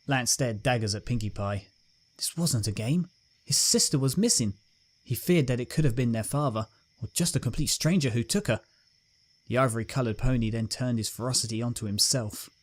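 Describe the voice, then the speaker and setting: A man narrating a fan fiction story in a steady storytelling manner. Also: mid-range voice, brisk.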